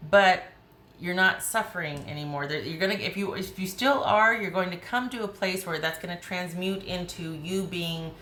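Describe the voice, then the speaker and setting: A woman with a hoarse voice giving a chatty, rambling tarot reading, often restarting her sentences. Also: on the low side, normal speed, pushed and bright, echoey room, a little hiss or hum. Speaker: tarot reader.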